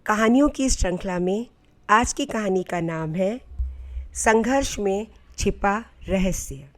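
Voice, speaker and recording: A woman storyteller narrating a scripted inspirational tale in a measured, earnest way. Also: medium pitch, lively intonation.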